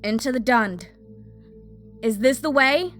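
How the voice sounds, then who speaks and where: A woman playing an impatient, hot-headed young fire mage in an audio drama, blunt and forceful. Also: high-pitched, slow pace. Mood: determined, defiant. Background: music.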